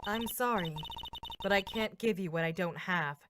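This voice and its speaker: A woman playing a calm, kindly professional older sister in a video game fan dub, with composed delivery. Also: medium pitch, moderate pace, background noise, lightly acted. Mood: tense.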